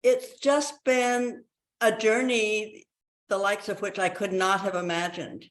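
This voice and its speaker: A woman's voice: mid-range voice, steady tone, takes it slowly. Eighty-year-old woman, reflective podcast guest. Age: 80s. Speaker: interview guest. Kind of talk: reflective conversational storytelling.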